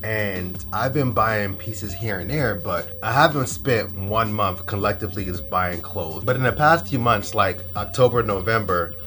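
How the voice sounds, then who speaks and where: A young man talks in a relaxed, rambling way, slangy and full of fillers. His voice sits a little low and sounds stuffed up and nasal from a cold. Music plays clearly behind him. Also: a little slow, few pauses. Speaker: fashion vlogger. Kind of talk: casual haul video.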